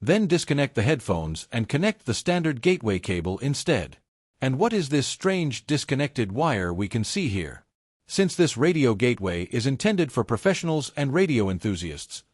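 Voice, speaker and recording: A man reading a scripted technical tutorial step by step in a measured, methodical way. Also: medium pitch, big pitch swings, dry recording, studio quality. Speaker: tutorial presenter.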